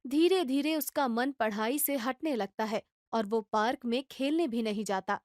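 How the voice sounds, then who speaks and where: A woman reading scripted storybook narration for a children's cartoon in an even storytelling way. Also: on the high side, moderate pace, no echo, studio quality.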